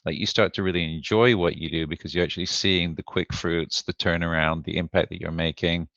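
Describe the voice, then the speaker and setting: A man's voice: low voice, steady tone, fairly quick, fairly bright tone, no room sound, dull audio. A man from the UK hosting a podcast, asking questions and sharing his own experience in a thoughtful, hesitant way with "uh" fillers. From United Kingdom. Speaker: podcast host. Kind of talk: conversational interview.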